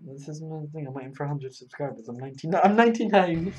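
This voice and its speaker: A man's voice: fairly high pitch, expressive, rapid-fire, darkish tone. A young man chatting casually as he coaches a video game player, playful and given to short repeated instructions. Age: young adult. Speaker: gaming channel host coaching a player. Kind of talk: casual gaming chat and coaching.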